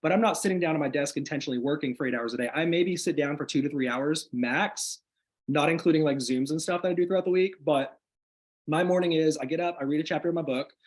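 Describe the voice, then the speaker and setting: A man talks at a rapid clip in a somewhat high voice, his tone a little hoarse as he recovers from illness. He speaks energetically and motivationally, leaning on casual "like" fillers, heard through a video call microphone. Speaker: guest sharing business tips.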